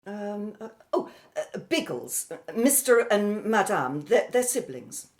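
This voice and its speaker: A woman's voice: medium pitch, sing-song, slow pace, darkish tone. A woman playing a bossy, scornful woman in a radio drama, imperious and easily flustered. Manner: imperious, scolding, flustered when questioned. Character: bossy, scornful woman Amina, desperate to be assimilated. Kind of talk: audio drama acting.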